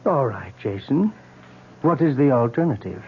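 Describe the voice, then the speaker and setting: An actor playing a composed male psychiatrist who probes with measured questions, in old-time radio drama style on a thin vintage recording. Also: medium pitch, animated, phone-quality sound, slight background noise, lightly acted. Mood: patient, leading.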